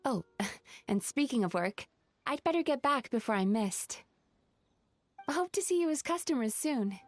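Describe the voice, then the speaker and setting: A woman's voice: sits high, expressive, measured pace, no echo, soft background music. A woman voicing a polite, earnest young female dream-eating spirit in a video game. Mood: grateful, friendly.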